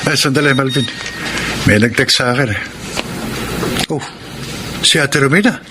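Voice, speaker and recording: An actor with a Filipino accent playing a defensive, soft-hearted breadwinner husband in a radio drama. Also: male voice, mid-range voice, normal speed, close to an everyday voice. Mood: troubled, hesitant. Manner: hesitant explanations, defensive. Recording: noisy radio drama recording.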